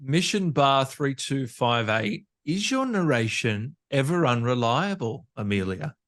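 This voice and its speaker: A man's voice: mid-range voice, very animated, measured pace, a bit bright, no room sound, clean studio sound. A man hosting a casual interview, agreeable and appreciative as he reads out questions in a conversational way. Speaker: podcast interviewer, host. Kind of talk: casual interview chat, reading viewer questions.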